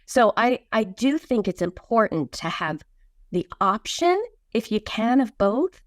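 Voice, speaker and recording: A woman guest answering in an interview, with emphatic, deliberate phrasing and repeated points. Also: a little high, very animated, no echo, clean studio sound. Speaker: guest, children's book author.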